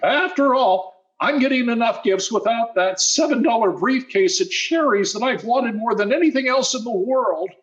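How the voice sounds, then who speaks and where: A man's voice: very high voice, expressive, normal speed, dull audio. A man playing a wheedling teenage schoolboy in old-time radio sitcom style, stammering and mock-modest. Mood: wounded, wheedling. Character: wheedling teenage schoolboy, eager, guileless-sounding schemer.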